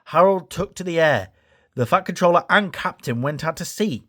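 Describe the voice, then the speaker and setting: A man's voice: on the high side, animated, moderate pace, fairly bright tone. A man reading a children's story as a scripted storybook narration. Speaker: story reader.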